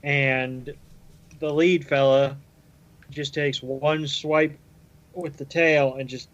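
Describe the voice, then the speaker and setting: A man's voice: fairly high pitch, flat delivery, takes it slowly, slight background noise. Man co-hosting, slightly muffled audio. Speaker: podcast co-host. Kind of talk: casual chat and episode recap.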